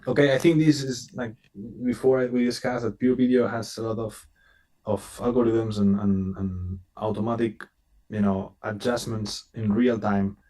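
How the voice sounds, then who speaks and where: A man's voice: on the low side, fairly even intonation, normal speed, mellow, low-quality recording. A man who is not a native English speaker, appearing as a company representative on a live stream over a video call and talking in an earnest, repetitive way. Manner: earnest, repeats words while speaking. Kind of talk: casual live stream chat.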